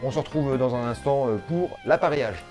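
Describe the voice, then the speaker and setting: A man's voice: medium pitch, a little fast, darkish tone, soft background music. A man hosting an informal product demo, chatting unscripted and often hesitating and repeating himself. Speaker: video host.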